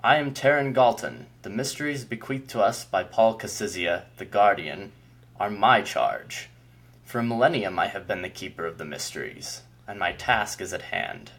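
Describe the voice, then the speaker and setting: An ancient, commanding keeper of mysteries, as a man reading the story puts him on, his words grave and measured. The voice sits low with a husky edge. He sounds haughty, as if nothing could threaten him. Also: a bit bright. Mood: stern. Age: older. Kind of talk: audiobook character voice.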